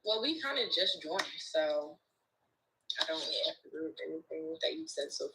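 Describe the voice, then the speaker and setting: A young woman joining a livestream as a guest, chatty and giggly, firing off quick questions. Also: low voice, sing-song, noisy background. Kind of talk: casual livestream chat.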